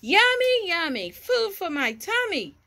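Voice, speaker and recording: Woman chanting as greedy high-pitched hungry sharks. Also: sing-song, measured pace, sharp tone, full character voice. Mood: greedy, gleeful. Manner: sing-song gleeful chanting.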